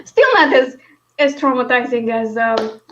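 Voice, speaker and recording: A woman chats casually about books in a fairly high voice. Her manner is wry and informal, and the audio is slightly dull and lo-fi. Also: unhurried, fairly bright tone, a little hiss or hum. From Romania. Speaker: book discussion host. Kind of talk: casual book chat.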